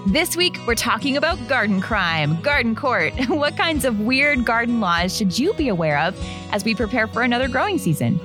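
A woman hosting a podcast in a chatty, expressive, giggly way. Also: a little high, moderate pace, over music. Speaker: podcast host. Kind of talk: casual, chatty podcast talk.

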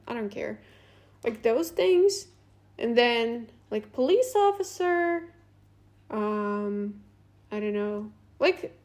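Young Russian-native woman from Latvia, solo podcast host: unhurried, a touch high, loose and rambling. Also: a little mellow. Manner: rambling, many fillers and restarts. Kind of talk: casual unscripted monologue.

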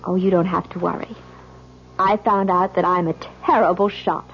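An actress playing a newlywed wife in a 1970s radio drama, sardonic and deliberate as she confronts a schemer. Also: lowish voice, steady tone, slightly rough. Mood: cool. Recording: dull lo-fi 1970s radio recording.

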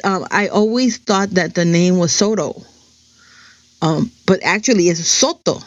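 A woman's voice: on the low side, dry recording, dull audio. A middle-aged woman from New Jersey, whose first language is Spanish, talks in a chatty, digressive personal monologue full of "um" and "you know". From New Jersey, USA.

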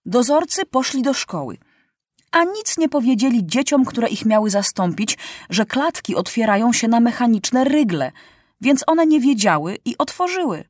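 A woman's voice: a little high, sing-song, no room sound, studio quality, voice pushed up. A woman voicing a worried male messenger on horseback who explains things in a hurry. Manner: hurried, fretful explaining.

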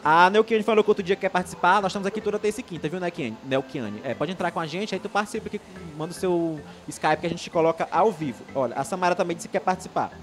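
A man's voice: high voice, quick pace, few pauses, soft background music. A young man from Maranhão with a Maranhão accent hosting a live online show, chatting casually, reading viewer comments and often restarting his sentences. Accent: Maranhão Brazilian Portuguese. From Maranhão, Brazil. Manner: rambling, many restarts, chatty and upbeat. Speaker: live stream host.

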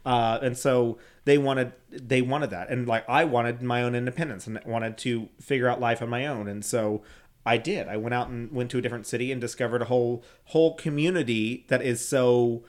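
A man's voice: mid-range voice, fairly even intonation, normal speed. A young man co-hosting a podcast, talking candidly and casually, often laughing and swearing. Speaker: podcast co-host. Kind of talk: casual chat.

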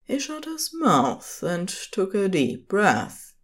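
A woman's voice: mid-range voice, wildly swooping pitch, slow pace. A woman reading scripted fiction as the narrator of an audio drama.

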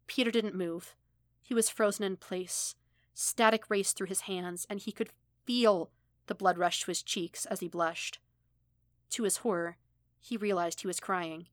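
A woman's voice: fairly low pitch, no echo. A woman reading a story aloud as scripted narration, recorded close to the microphone. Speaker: fan fiction audiobook narrator.

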